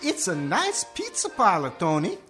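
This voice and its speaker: An actor playing an eager, earnest pizza parlor worker in a comedic radio play, perky and placating. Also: male voice, high-pitched, huge pitch swings, normal speed, sharp tone, husky, faint music behind, heavily acted.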